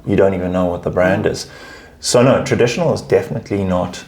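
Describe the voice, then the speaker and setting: Guest spot: man, deep gravelly voice, emphatic chatty answers. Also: quick pace, a little mellow. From South Africa. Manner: emphatic, repeats words while finding phrasing. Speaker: guest, advertising research lead. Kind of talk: casual interview chat.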